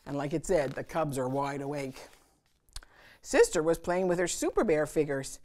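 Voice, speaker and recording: A woman hosting a children's story time, reading aloud and pausing to chat with and question her young listeners. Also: low-pitched, animated, darkish tone. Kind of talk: animated read-aloud of a picture book for children.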